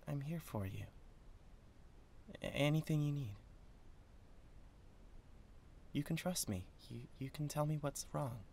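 A man playing an excitable, doting devil in a roleplay audio, stammering and gushing with awkward, playful affection. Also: medium pitch, creaky, natural voice. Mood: tender, awkward. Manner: stammering, gushing, swings from gentle to manic.

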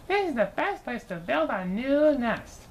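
A woman's voice: high voice, big pitch swings, a little slow, slight background noise, pitched above the performer's own voice, full character voice. A woman voicing a bossy, fussy but contented mother bird from a storybook, emphatic and sing-song. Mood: pleased.

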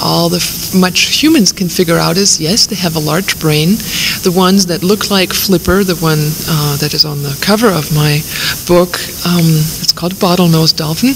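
A woman who grew up in Germany after a birth in the Himalayas, speaking English with Persian as her first tongue. She tells her stories with eager warmth and frequent restarts. The 1990s radio recording carries a steady hiss. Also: mid-range voice, rough recording. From born in the Himalayas, raised in Germany. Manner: enthusiastic, eclectic storyteller with restarts. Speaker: guest author. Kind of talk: radio interview.

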